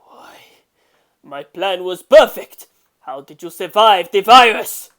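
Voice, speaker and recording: A woman's voice: medium pitch, animated, slow, a bit bright. Woman playing an arrogant, hot-tempered gangster boss. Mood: stunned. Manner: indignant, sneering, exclaiming.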